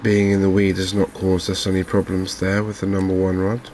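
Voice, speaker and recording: A man giving calm, understated, softly spoken ASMR commentary on a video game, in an unhurried way. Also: low voice, a little hiss or hum. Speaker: gaming video host.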